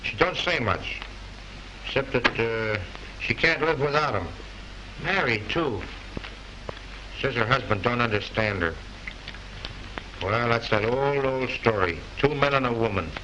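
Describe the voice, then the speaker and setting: An actor playing a chatty, slangy, working-class studio gatekeeper who wisecracks in ungrammatical talk, in 1930s film style, with hiss from an old soundtrack. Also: male voice, mid-range voice, lively intonation, a little slow, long pauses, bright, forceful tone, highs cut off. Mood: joking.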